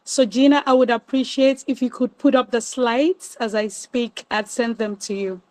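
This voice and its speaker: A woman, hoarse from a cold, giving a webinar talk from her phone. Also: sits high, darkish tone, no room sound, rough recording. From Nigeria. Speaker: guest speaker. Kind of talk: webinar presentation. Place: online video call.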